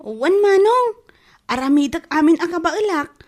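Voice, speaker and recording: A woman voices a teenage girl in an Ilocano-accented radio-style drama. Her voice is high and swings in lively melody, sounding earnest and dutiful toward her family. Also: a little slow. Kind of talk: Ilocano audio drama acting.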